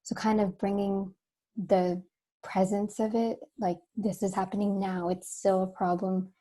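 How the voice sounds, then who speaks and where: A young woman, slightly hoarse, speaking earnestly as a student board member on a video call meeting. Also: medium pitch, level tone, unhurried, warm tone. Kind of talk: spoken remarks at a board meeting.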